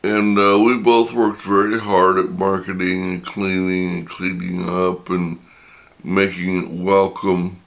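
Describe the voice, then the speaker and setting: An older man hosting his own channel, telling a personal story in a reflective, unhurried way, his voice a little raspy from talking. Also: sits low, flat delivery, fairly bright tone. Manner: reflective and unhurried, many pauses. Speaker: channel host.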